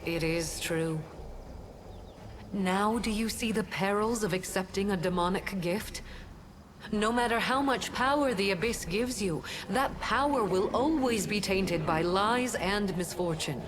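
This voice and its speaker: An actress playing a righteous, stern goddess in a video game, speaking in a grave, measured and formal manner. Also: female voice, medium pitch, noisy background, close to an everyday voice. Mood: solemn. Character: solemn, righteous goddess of justice, stern and noble.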